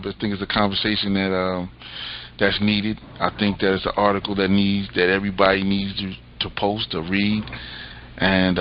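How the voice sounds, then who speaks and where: A man co-hosting an interview, speaking earnestly in a halting way with many restarts. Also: lowish voice. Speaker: co-host. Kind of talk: casual interview chat. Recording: dull lo-fi sound with faint noise.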